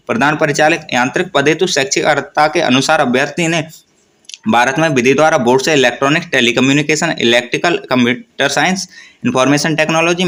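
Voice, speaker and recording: A man's voice: on the high side, a bit bright. A man hosting an informal explainer for viewers, reading out notices and often restating his points. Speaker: host of an exam news channel.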